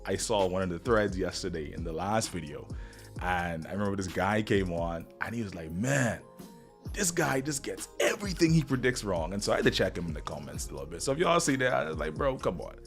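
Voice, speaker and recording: A man's voice: fairly low pitch, expressive, a little fast, vocal fry. A man with a Bahamian accent hosting a casual live stream, rambling and upbeat as he talks straight to viewers, still getting over the flu. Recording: music playing faintly behind.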